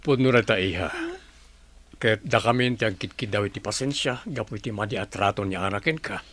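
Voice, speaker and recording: Husky middle-aged uncle in a radio drama, lowish voice that moves around, earnest and sorry. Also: male voice, brisk, a bit bright. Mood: apologetic, earnest.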